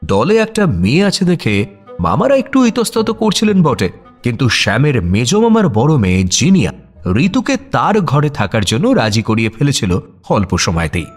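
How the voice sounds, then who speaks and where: A man's voice: medium pitch, wildly swooping pitch, moderate pace, faint music behind. A man narrating a scripted audio story in a dramatic storytelling style.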